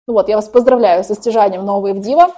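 A woman's voice: mid-range voice, fast, warm tone. A woman leading a group spiritual practice, first intoning set phrases, then explaining at length in a chatty way with many fillers. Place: hall with a group.

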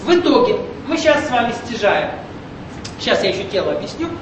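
A man leads a spiritual seminar, explaining things patiently and often checking that the room is following. His voice is picked up from a distance in a very echoey room. A steady rumble runs underneath. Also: a little fast. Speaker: seminar leader. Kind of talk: esoteric spiritual lecture. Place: lecture room with an audience.

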